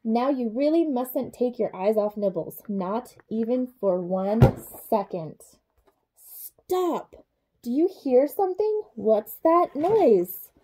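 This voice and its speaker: Young female storyteller for children's read-aloud. High, mellow voice, expressive delivery aimed at small kids. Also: slow pace, a little hiss or hum. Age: young adult. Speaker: childcare supervisor reading a bedtime story.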